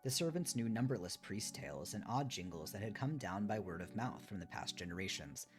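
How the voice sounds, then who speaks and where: Low-pitched man reading aloud over guitar music. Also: expressive, brisk. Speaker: streamer reading a book aloud. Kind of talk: live read-aloud of a memoir, with casual asides. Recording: music behind the voice.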